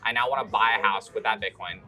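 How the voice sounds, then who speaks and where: A man's voice: on the low side, quick pace, music in the background. An American man hosting a casual podcast conversation in an eager manner, often affirming what he hears. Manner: eager, frequent short affirmations. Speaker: podcast host.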